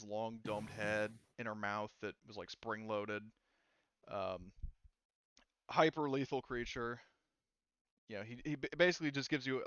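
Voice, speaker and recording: A man running a tabletop roleplaying game, explaining things in a halting, casual, conversational way. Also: medium pitch, fairly bright tone, clear, resonant tone, no room sound, highs cut off. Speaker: game master.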